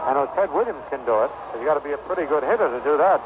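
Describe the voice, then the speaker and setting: Male American baseball announcer for 1960s radio, fairly high voice, clipped calls, very noisy broadcast sound. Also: expressive, racing, lo-fi sound. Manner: clipped, short descriptive phrases. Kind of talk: 1960s radio sports commentary. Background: loud ballpark ambience and static.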